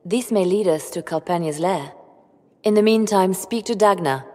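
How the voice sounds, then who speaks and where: A woman playing a composed, calculating spymaster in a video game, speaking in a measured, formal and precise way. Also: mid-range voice, a little mellow, natural voice. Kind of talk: scripted video game dialogue. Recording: long hall reverb.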